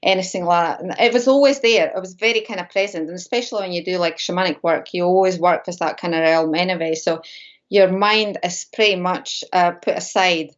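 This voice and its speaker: A woman's voice: fairly low pitch, brisk, lo-fi sound. A Latvian woman, a native Latvian speaker, talking as a guest about mediumship in a hesitant, filler-heavy chat over a video call. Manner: hesitant, many fillers and restarts. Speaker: guest medium and shamanic practitioner. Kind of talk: casual interview chat.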